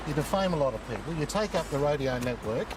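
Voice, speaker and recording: A man's voice: on the high side, animated, fast, background noise. A man, a TV reporter, challenging someone insistently in an outdoor doorstep interview. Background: music.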